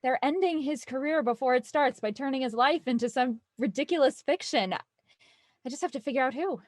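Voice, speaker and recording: A woman playing an anxious, stubborn and wry young woman in a naturalistic audio drama, with halting, stammering speech. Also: sits high, dry recording, studio quality, lightly acted. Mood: insistent. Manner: halting, stammering, rambling when nervous.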